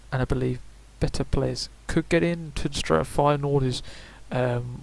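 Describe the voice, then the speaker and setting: A young man talks through his picks in a loose, rambling way. He fills gaps with "uh" and "um" and stops to correct himself. His voice sits at a middle pitch. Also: darkish tone, poor audio. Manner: chatty, rambling, frequent fillers and self-corrections. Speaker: gaming YouTuber. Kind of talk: casual predictions commentary.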